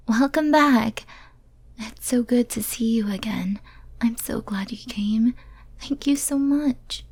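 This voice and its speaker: Casting: actress for lonely caged female demon, ASMR roleplay. Very breathy whisper, slow, tender and hesitant. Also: mid-range voice, darkish tone, no room sound. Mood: relieved, delighted. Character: lonely, clingy, pleading female demon sealed in a cage.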